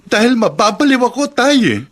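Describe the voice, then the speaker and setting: A man's voice: extremely high pitch, big pitch swings, normal speed, heavily acted. A distraught jilted young man. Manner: agitated, complaining. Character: distraught young man left by his girlfriend. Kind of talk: radio drama acting.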